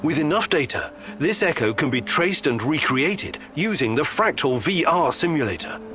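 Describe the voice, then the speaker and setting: An actor playing an earnest, determined male scientist in a video game, speaking in a serious, measured, explanatory manner. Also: on the high side, sing-song, sharp tone, soft background music. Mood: patient, explanatory. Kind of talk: video game character acting.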